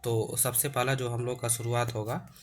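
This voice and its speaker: A man with a sore throat, explaining an exam-prep lesson with many hesitations and frequent throat clearing. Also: mid-range voice, level tone, normal speed.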